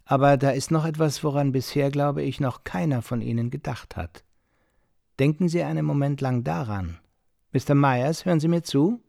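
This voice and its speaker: A man's voice: a little high, gravelly, darkish tone, no echo, clean studio sound. An actor playing an insistent, edgy host in a radio drama, lecturing his guests with emphatic formal politeness. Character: male host, insistent, pontificating, edgy married storyteller.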